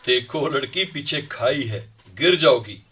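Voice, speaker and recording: Distant from the mic, an army captain coaxes in a very husky, rough voice. It is radio drama acting at a middling pitch. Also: male voice, animated, a little slow, fairly bright tone, heavily acted. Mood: coaxing, cautioning. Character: enemy army captain coaxing a cornered young woman.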